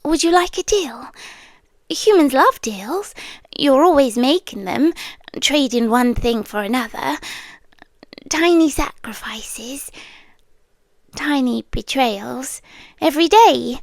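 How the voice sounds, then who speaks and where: In this audio drama, a high, breathy whisper belongs to a lonely, half-monstrous trapped woman, voiced by a woman. She talks slowly and intimately, coaxing the listener close. The sound is close and dry. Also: animated, dry recording, heavily acted. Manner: slow, soft and intimate, coaxing. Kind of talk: second-person audio drama.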